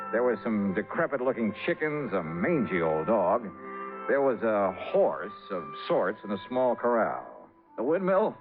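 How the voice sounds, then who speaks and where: An actor playing a wisecracking, worldly male insurance investigator in a 1950s radio drama, narrating in a wry, hard-boiled way with dramatic pauses. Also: medium pitch, narrow, tinny audio, natural voice. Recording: old band-limited radio recording with music.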